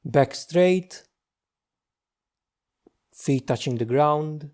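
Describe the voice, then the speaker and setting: A man leading a guided meditation as a teacher, speaking gently and slowly with long pauses. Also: on the high side. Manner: slow, hushed, gentle delivery with long pauses.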